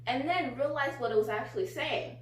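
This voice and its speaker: A young adult woman with an American accent hosting a casual chat in an informal, halting way. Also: sits high, far from the mic. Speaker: vlog host, a daughter. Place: small living room, on a couch. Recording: roomy, off-mic, faint hum.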